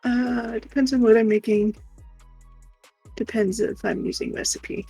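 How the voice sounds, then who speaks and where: A woman's voice: a little high, steady tone, normal speed, vocal fry, mellow, dry recording, faint music behind, low-quality recording. A woman co-hosting a livestream in casual, rambling chat. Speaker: livestream co-host.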